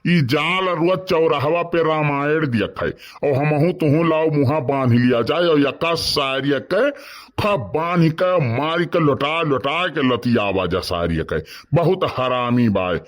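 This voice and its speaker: An actor plays a gossipy village man in a comedy cartoon, his voice pitched a bit high. He talks in a rustic Awadhi accent and has a mischievous, cynical way about him. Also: unhurried, natural voice. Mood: scheming, indignant. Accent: Awadhi, eastern Uttar Pradesh.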